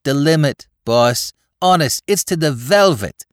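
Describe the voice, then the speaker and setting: A man voicing a cheeky, excitable young Bowery crook with a New York accent, talking in rapid slang. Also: fairly high pitch, clear, resonant tone, dry recording, studio quality, voice pushed up. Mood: excited.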